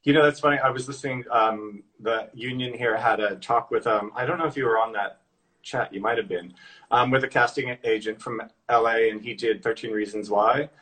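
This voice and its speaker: A man's voice: medium pitch, fairly even intonation, brisk, fairly bright tone, poor audio. A man with an American accent, interviewing a guest in an informal, loosely phrased, conversational way. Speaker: podcast interviewer, accent coach. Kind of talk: casual interview chat.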